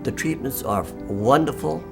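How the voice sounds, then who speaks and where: A man's voice: medium pitch, lively intonation, normal speed, gravelly. Upbeat man in his nineties. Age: 90s. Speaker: patient giving a testimonial. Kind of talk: interview testimonial. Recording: music playing behind the voice.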